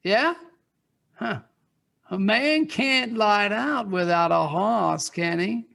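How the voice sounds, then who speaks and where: A man playing a sly, murderous outlaw gunman in a western audio drama, with an American Western drawl and gravelly, mocking delivery. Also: high-pitched, takes it slowly, sharp tone, heavily acted. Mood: sly menace.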